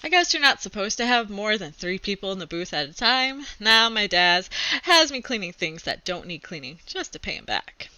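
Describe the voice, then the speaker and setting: A woman's voice: medium pitch, big pitch swings, normal speed, bright, forceful tone, dry recording, poor audio. A woman voicing an exasperated, self-deprecating schoolboy who narrates a comic storybook with wry complaints. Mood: wry, sheepish. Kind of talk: expressive storybook read-aloud.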